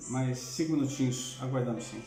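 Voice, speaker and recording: A southern Brazilian man talks as a pastor on a livestream, informal and conversational, with frequent tag questions. His voice sits at a middle pitch. The room is echoey and faint music plays behind him. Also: fairly quick. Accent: southern Brazilian Portuguese. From Curitiba, southern Brazil. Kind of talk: informal livestream talk to a church audience.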